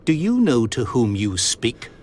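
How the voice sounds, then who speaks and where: Proud elderly gentleman, formal, mid-pitched. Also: huge pitch swings, a little slow. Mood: haughty, scornful. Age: older. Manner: formal, haughty, earnest. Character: proud, grieving elderly wealthy industrialist, formal and desperate. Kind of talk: video game voice acting, period mystery drama. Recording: faint background noise.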